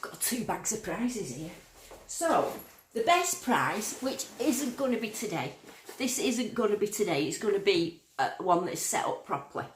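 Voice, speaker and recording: A woman's voice: mid-range voice, very animated, unhurried, a little hiss or hum. Chatty northern English woman, echoey room. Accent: Lancashire, Yorkshire-sounding. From Lancashire. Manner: chatty, rambling, reads out viewer comments. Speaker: metal detecting livestream host. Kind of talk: casual livestream chat.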